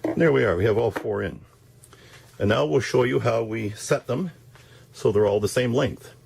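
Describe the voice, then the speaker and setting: A man giving a casual, halting, step-by-step demonstration of a hands-on job. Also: mid-range voice, creaky, a little mellow, a little hiss or hum. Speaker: DIY demonstrator.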